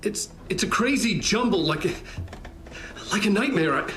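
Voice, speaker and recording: An actor playing a haunted, confused writer in a video game, halting and muttering. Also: male voice, high voice, lively intonation, fairly bright tone. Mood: dazed, struggling. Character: haunted, confused male writer, long missing. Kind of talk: dramatic video game acting.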